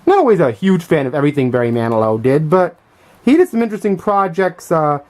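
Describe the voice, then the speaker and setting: A middle-aged man giving a casual, unscripted show-and-tell commentary as a collector, thinking aloud in a halting, hesitant way. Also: on the high side, big pitch swings, a little mellow. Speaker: vlogger and music collector showing finds.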